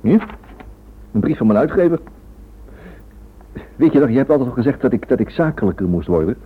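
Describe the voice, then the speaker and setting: A man's voice: medium pitch, very animated, a little fast, a little hiss or hum, natural voice. A male actor playing an earnest, curious writer husband in a radio drama, with a hesitant, questioning manner. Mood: eager. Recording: old narrow-band radio recording.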